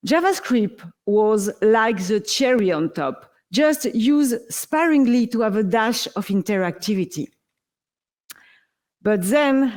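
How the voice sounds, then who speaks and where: A woman's voice: mid-range voice, a little mellow. A woman from France with a French accent, giving an upbeat, explanatory tech talk on a large conference stage through a stage microphone. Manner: upbeat, explanatory, playful comparisons. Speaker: conference speaker.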